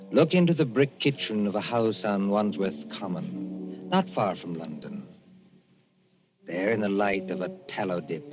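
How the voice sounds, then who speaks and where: A man with a low voice narrates in dramatic 1940s radio suspense style. His delivery is ominous and scripted, on a dull old broadcast recording with faint music behind. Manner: ominous, deliberate storytelling. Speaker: radio show narrator and announcer.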